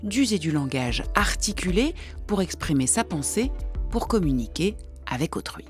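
A woman hosting a radio segment, reading a scripted introduction and asking questions in a composed, measured way. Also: lowish voice, big pitch swings. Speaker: radio host. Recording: broadcast voice with light music underneath.

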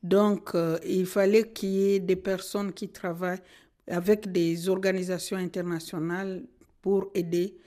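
A middle-aged woman from Congo, a Kinande speaker, answering in a radio interview in an earnest, measured way. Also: lowish voice, little pitch movement, warm tone. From Democratic Republic of the Congo. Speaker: social worker and guest.

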